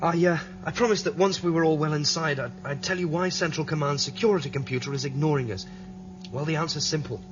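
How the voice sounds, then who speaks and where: A man's voice: a little high, steady tone, fast talker, background noise, close to an everyday voice. An actor playing an older man leading a break-in, explaining plans with hesitant restarts. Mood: tense. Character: older man leading an escape, calm planner. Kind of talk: radio drama acting. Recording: dull lo-fi radio drama with music.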